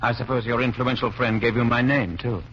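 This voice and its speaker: A man's voice: mid-range voice, steady tone, a little fast, a bit bright, slight background noise, lightly acted. An actor playing a wary, tense Englishman on the run in an old-time radio suspense drama. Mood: curt, wary. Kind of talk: 1940s radio acting with first-person suspense narration. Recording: muffled old radio recording with music.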